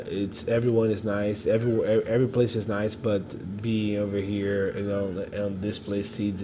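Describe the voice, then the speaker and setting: A man talks into his phone in an easygoing, upbeat vlog, wandering through thoughts with plenty of "uh"s and restarts. His voice sits a little low, and faint ambient sound hums behind him. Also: warm tone, a little hiss or hum. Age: 30s. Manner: rambling, upbeat, many fillers and restarts. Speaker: vlogger and online entrepreneur. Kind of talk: casual vlog talk to camera.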